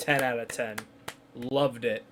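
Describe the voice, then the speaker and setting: A man's voice: medium pitch, fairly even intonation, unhurried, a little hiss or hum. A man giving casual let's play commentary as a gaming YouTuber. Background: faint game music.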